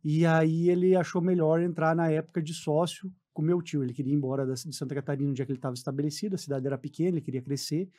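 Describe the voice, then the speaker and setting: A man in his forties with a southern Brazilian accent talks as a guest in a radio interview. He tells stories in a casual way. His voice is fairly high for a man and has a soft, mellow tone. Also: fairly even intonation, very fast, hardly any pauses. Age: 40s. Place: radio studio.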